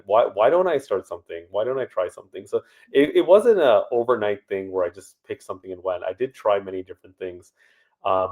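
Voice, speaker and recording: Casting: man, very low voice, easygoing interview guest. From Canada. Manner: relaxed and conversational, many fillers. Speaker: startup founder guest. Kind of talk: casual interview answers.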